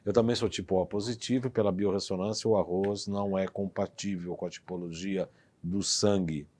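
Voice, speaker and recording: A man's voice: low-pitched, steady tone, fairly quick, darkish tone. A man from Brazil hosting a live TV interview in a studio, talkative, teasing and emphatic. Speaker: TV show host.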